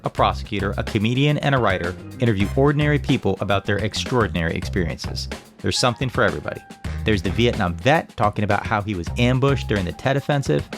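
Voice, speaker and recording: A man reading a scripted promo for another podcast in an upbeat pitch to listeners. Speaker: podcast ad announcer. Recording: music bed behind voice.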